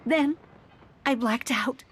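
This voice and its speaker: A woman voicing a gentle young woman who left her home country, speaking in a soft, earnest way in video game dialogue. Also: sits high, takes it slowly, a little hiss or hum. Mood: wistful. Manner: soft-spoken, earnest storyteller. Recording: game music behind.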